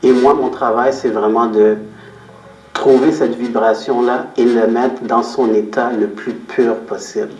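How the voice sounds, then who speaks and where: A man answering interview questions as a guest, explaining his outlook in a reflective, measured way and repeating phrases for emphasis. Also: medium pitch, fairly even intonation, a little mellow. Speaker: interview guest, photographer and business owner. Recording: roomy echo, distant microphone.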